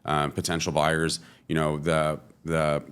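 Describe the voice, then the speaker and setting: Deep-voiced Californian man, rambling casually. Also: a little slow, clean tone. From California, USA. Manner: relaxed, lots of "you know" fillers. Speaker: guest, entrepreneur. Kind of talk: casual interview.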